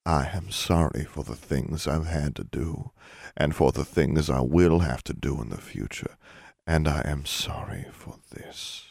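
Man as a deep-voiced, solemn, stern father. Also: creaky, a little mellow, no echo, clean studio sound, heavily acted. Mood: hushed remorse. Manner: grave, formal, measured. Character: solemn, stern father, grave and controlled wizard. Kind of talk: dramatic audiobook character acting.

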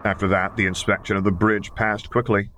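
Very low-voiced man narrating fiction. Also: flat delivery, brisk, fairly bright tone, a little hiss or hum. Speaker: audiobook reader.

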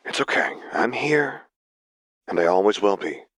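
A man voicing a gentle, caring young woman, soft-spoken and tender, in an audio story. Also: medium pitch, lively intonation, unhurried, no echo, clean studio sound, voice pushed up.

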